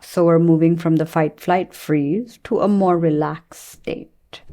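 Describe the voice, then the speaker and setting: A woman leading a guided meditation as a teacher, speaking gently and soothingly with long pauses between phrases. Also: low-pitched, steady tone.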